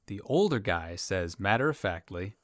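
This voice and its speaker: An actor playing a wry, crude bartender who talks in a folksy, colloquial way and tells jokes in an audio drama. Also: male voice, low voice, sing-song, normal speed, dry recording, clean studio sound. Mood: sly storytelling.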